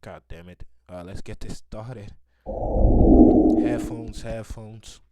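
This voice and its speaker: A man's voice: fairly low pitch, fairly even intonation, slow pace, warm tone, no echo, studio quality. A man giving casual, slangy live reactions as a video host, with short interjections and asides.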